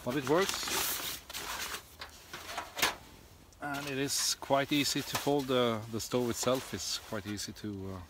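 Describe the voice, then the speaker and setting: A middle-aged man casually demonstrating outdoor gear in a halting, unscripted way, recorded on a camera in a tent and snowy woods. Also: medium pitch. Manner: hesitant, halting step-by-step explaining. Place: snowy forest and inside a tent. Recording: clearly noisy camera audio with clicks.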